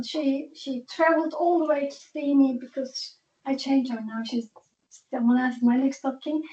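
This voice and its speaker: A woman from Turkey, telling a board meeting about her time as a patient in a halting, restarting way. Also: sits high, darkish tone. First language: Turkish. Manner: halting, restarts and non-native phrasing. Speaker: patient sharing her story. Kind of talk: personal testimony at a meeting. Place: meeting room. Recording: dull lo-fi meeting audio.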